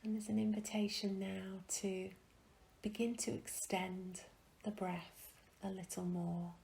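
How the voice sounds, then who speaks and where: A woman guiding a meditation in a soft, breathy, soothing way, leaving long pauses between phrases. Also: medium pitch, little pitch movement. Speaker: meditation guide. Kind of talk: guided meditation.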